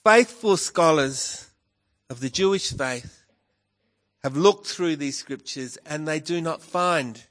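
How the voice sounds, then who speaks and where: A man preaching with a croaky voice that sits a touch high. He takes his time, letting each phrase land and lifting his pitch to stress key words. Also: a bit bright. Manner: slow, measured preaching with emphatic rises. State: hoarse, croaky voice with a cough. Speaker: pastor. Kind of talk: sermon.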